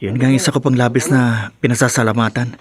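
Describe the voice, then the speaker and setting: Actor as earnest young Filipino man, mid-pitched. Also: level tone, quick pace, a crowd in the background, natural voice. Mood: grateful. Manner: earnest, polite. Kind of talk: Filipino radio drama acting.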